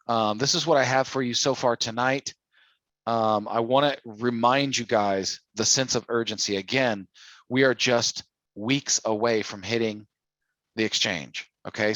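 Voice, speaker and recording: A man with a medium-pitched voice leads a sales training call. He talks informally and persuasively, often putting questions to the listeners. The sound is dry, with little room in it. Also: fairly bright tone, dry recording, rough recording. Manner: persuasive, full of rhetorical questions. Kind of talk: informal sales coaching talk.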